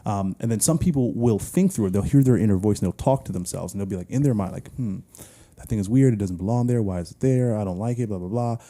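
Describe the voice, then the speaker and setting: Male podcast co-host, low and very dark voice, musing and loose in casual chat. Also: fast talker. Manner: musing, loose, full of "like" and "you know".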